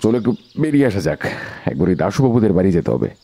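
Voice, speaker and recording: A man playing a calm, authoritative detective in an audio drama, questioning in a measured, polite but blunt way. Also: sits low, creaky, husky, no room sound, full character voice. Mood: pensive.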